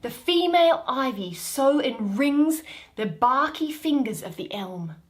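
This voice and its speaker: A woman acts a fairy queen besotted with her beloved in a verse stage comedy. Her voice is fairly high and moves slowly, tender and adoring, with wide swings of pitch. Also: animated. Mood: tender, enraptured. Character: Titania, doting, lovestruck fairy queen.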